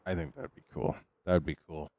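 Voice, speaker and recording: Very deep-voiced young man streaming. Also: flat delivery, normal speed, a little mellow, no room sound. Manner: rambling, filler-heavy musing. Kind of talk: casual gaming stream chat.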